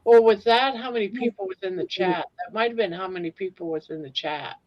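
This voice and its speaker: A woman's voice: medium pitch, brisk. A woman in her sixties chatting playfully on a livestream. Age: 60s. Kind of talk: casual livestream chat. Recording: dull lo-fi audio.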